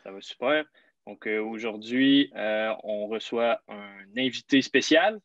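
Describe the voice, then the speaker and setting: A man's voice: lowish voice, unhurried, a bit bright, dry recording. A man with a Québécois accent hosting a sports podcast, welcoming listeners and a guest with frequent fillers. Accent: Québécois French. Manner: friendly, lots of fillers. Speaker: podcast host. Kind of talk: casual podcast interview. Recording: slightly dull lo-fi sound.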